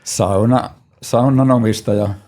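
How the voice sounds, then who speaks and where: A man's voice: medium pitch, steady tone, a little slow, warm tone. A man speaking as a guest in a casual panel conversation, giving plain, brief answers. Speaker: guest, sauna business owner.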